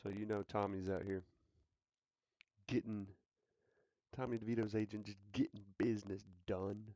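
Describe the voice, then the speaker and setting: A man's voice: on the low side, level tone, normal speed, warm tone, no echo, clean studio sound. A man hosting a casual sports talk show, joking and hyped, repeating his lines for effect. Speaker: sports podcast host.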